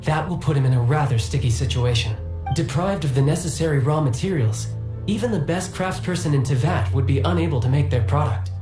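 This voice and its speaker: A man voicing a polite, formal male merchant guild attendant who speaks in a courteous, measured way. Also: a little high, close to an everyday voice. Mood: explaining. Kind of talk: video game voice acting. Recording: roomy game audio with music.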